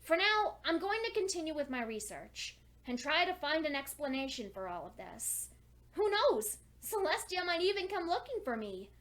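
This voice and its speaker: Casting: woman to play lonely bookish female pony for dark fan fiction audio, high voice, earnest and anxious, deliberate dramatic pauses. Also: sing-song. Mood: anxious hope. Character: lonely studious female pony, anxious diarist clinging to hope. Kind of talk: dramatic fan fiction reading, diary entries.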